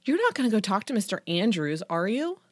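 A woman's voice: mid-range voice, sing-song, a little fast, no room sound. Woman as an emotional, protesting teenage girl. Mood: upset. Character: defiant, pleading teenage cheerleader girl. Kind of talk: audiobook character dialogue.

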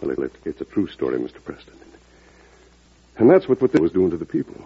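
A male actor playing a humble, plainspoken farmer in an old-time radio drama, talking in a halting, deferential, folksy way. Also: fairly low pitch, wildly swooping pitch, creaky, slightly rough, full character voice. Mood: earnest, sheepish. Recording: tinny, band-limited vintage radio recording.

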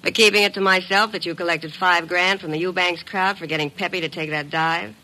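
A woman's voice: lowish voice, steady tone, fairly quick, bright, forceful tone, rough recording. An actress playing a tough, cool female blackmailer with slangy, brassy, insistent talk in an old radio drama. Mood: hard, threatening. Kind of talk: 1950s radio drama acting. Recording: vintage radio broadcast.

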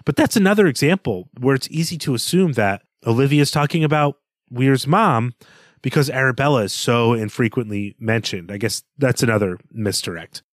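A man's voice: medium pitch, moderate pace, no echo, studio quality. A man co-hosting a literary podcast, speaking reflectively and searching for precise wording as he interprets a story. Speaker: podcast co-host. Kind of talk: literary discussion.

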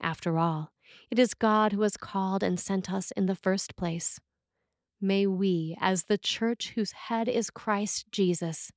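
A woman reading a scripted sermon as a preacher, with measured, deliberate phrasing. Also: medium pitch, dry recording, studio quality.

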